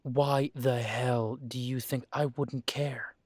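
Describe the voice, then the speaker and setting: A young man playing a restless grown son coming home, halting at first and then pressing. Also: mid-range voice, fairly even intonation, a little mellow, no room sound. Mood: upset. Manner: hesitant, then pressing and insistent.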